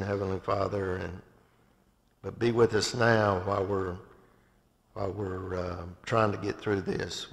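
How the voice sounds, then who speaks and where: An older man with a low, gravelly voice gives church announcements and leads a prayer, stopping and restarting often as he goes. He speaks at a lectern in an empty sanctuary. A long hall reverb carries his voice through the big room. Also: fairly bright tone. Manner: halting, with many restarts and fillers. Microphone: far camera from above, speaking at a lectern.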